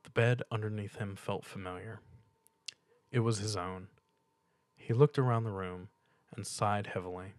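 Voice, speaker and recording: A man's voice: lowish voice, fairly even intonation, normal speed, long pauses, no echo. A man reading a piece of fan fiction aloud as dramatic story narration.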